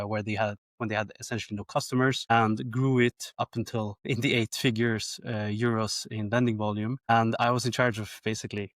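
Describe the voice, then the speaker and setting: A man telling his background as an interview guest, friendly and quick to laugh. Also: medium pitch, a bit bright, no room sound, clean studio sound. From Sweden. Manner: friendly, laughs easily, repeats words. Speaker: guest, company co-founder. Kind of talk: interview answers.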